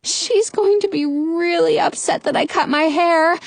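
A woman's voice: very high voice, animated, slow, fairly bright tone, no room sound, pitched above the performer's own voice, heavily acted. A woman voicing a frightened, naive fairy-tale girl, pleading and hesitant.

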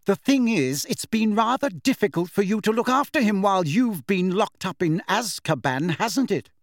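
A man's voice: high voice, very animated, normal speed, no room sound, pitched above the performer's own voice, full character voice. A man voicing a fierce, anxious middle-aged mother in a storybook, indignant and scolding. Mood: fierce, bitter.

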